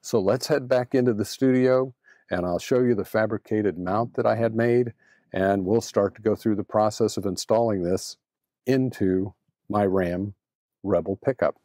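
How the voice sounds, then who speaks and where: A man with a low, mellow voice presents a how-to explanation. He sounds measured and matter-of-fact, and the recording is close and dry. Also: no room sound. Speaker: host presenting a radio installation.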